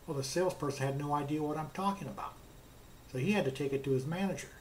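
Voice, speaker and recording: Higher-pitched man telling an anecdote over noise. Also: fast, a little mellow. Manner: plainspoken, recounts dialogue with "he says, I says". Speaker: car customer telling his experience. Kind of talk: casual anecdotal storytelling.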